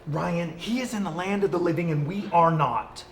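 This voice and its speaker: A woman's voice: on the low side, normal speed, close to an everyday voice. A woman acting a level-headed, wry ghost friend in comedy-drama dialogue. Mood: firm. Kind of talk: acted dialogue in a comedy-drama web series. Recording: faint background noise.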